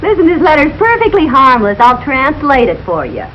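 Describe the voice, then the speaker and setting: A woman's voice: sits high, very animated, moderate pace. An actress playing a sensible, exasperated middle-aged wife and mother in a 1940s radio situation comedy, wry and matter-of-fact. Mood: patient. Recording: dull, noisy 1940s radio recording.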